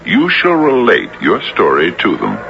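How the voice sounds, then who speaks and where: Very deep, gravelly judge. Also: male voice, huge pitch swings, measured pace, full character voice. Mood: solemn, commanding. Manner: solemn, authoritative. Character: stern, commanding male judge passing sentence. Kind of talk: 1970s radio drama acting. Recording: muffled old radio recording with music.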